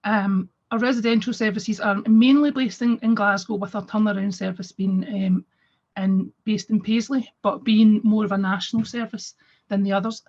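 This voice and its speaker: A woman with a cold gives a friendly webinar talk, her voice husky and croaky. She fills her sentences with ums and uhs. The audio is dull and lo-fi. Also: mid-range voice, fairly even intonation, moderate pace, darkish tone. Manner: friendly, with frequent fillers. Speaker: head of a drug and alcohol service. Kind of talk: webinar presentation.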